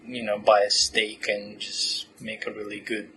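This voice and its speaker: Podcast guest: twenties man from Romania, lowish voice, easygoing and talkative, slight background hiss. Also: a little slow, low-quality recording. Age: 20s. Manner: relaxed, chatty, laughs often, many fillers. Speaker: podcast guest, PhD student. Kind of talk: casual interview answers.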